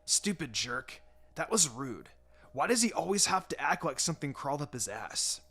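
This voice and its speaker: A man's voice: fairly high pitch, big pitch swings, normal speed, pushed and bright, exaggerated voice. A man voicing a brash but caring teenage boy ninja in a blunt, casual way. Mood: annoyed. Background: background music.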